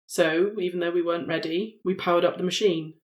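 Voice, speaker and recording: A player voicing an earnest, intelligent woman time traveller in a tabletop game, with halting, sombre delivery. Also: medium pitch, steady tone, close to an everyday voice.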